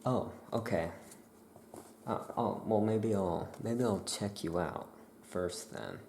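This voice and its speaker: Very slow, low, creaky soft-spoken ASMR voice. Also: a little hiss or hum. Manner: unhurried, gentle, hushed. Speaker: ASMR roleplayer as a witch shopkeeper.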